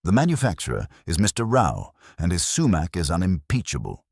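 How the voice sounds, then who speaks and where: A man's voice: low-pitched, wildly swooping pitch, brisk, creaky, darkish tone, slightly rough, no echo, clean studio sound, heavily acted. A man voicing a prim, indignant household chef in an audiobook, clipped and formal.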